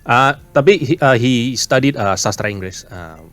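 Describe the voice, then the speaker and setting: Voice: mid-range voice, normal speed, a bit bright, faint music behind. A podcast host chatting casually, switching between English and Indonesian, often hesitating and restarting words.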